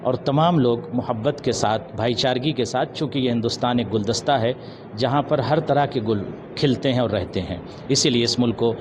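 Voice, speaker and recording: A man answering an interview in a measured, formal, preaching manner, pausing and mixing in English words. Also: medium pitch. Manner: measured, formal Urdu-laced diction with pauses and some English words. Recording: noisy outdoor urban street recording with traffic. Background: loud street ambience and vehicles.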